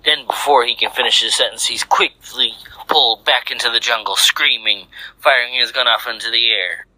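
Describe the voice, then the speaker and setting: A man's voice: mid-range voice, moderate pace, bright, forceful tone, low-quality recording. A man reading scripted action fiction aloud as a storyteller. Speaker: story narrator. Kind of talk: scripted narration of fan fiction.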